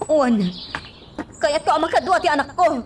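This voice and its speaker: A woman's voice: high voice, normal speed, background noise, close to an everyday voice. A woman playing a desperate, defiant mother in a radio melodrama, emotional and dramatic in delivery. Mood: wary. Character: desperate, defiant mother longing for her child.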